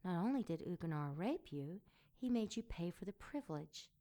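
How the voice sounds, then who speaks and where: Seductive demon woman, slightly low pitch, sultry, mocking, ruthless, deliberate delivery, audio drama. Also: very animated, mellow. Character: sardonic, seductive female succubus demon, ruthless interrogator.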